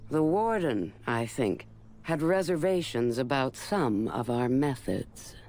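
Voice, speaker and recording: An actor playing a sober knight who recounts a military campaign in a video game, measured and matter-of-fact. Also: male voice, mid-range voice, expressive, a little mellow. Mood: sober, reflective. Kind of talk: scripted dramatic narration.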